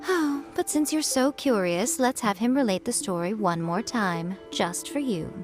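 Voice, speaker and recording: A woman voicing a sly, teasing shrine priestess in a video game, amused and unhurried. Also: mid-range voice, faint music behind, lightly acted.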